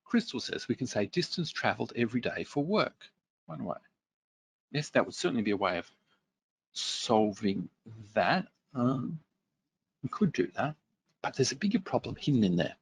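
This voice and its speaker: A man leads a workshop-style webinar, picking up viewer questions and talking them through in a relaxed, conversational way. His voice is deeply gravelly and a little husky, with a lively pitch that rises and falls often. The recording is dry and close to the mic. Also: moderate pace, pauses often. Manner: reads out viewer comments and responds conversationally with fillers. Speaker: webinar presenter.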